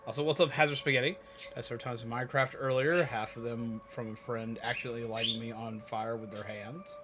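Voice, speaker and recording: A man's voice: mid-range voice, steady tone, fairly quick. A man hosting a casual livestream, chatting to viewers in a playful, theatrical way with exaggerated emphasis. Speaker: livestream host. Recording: music playing behind the voice. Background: background music.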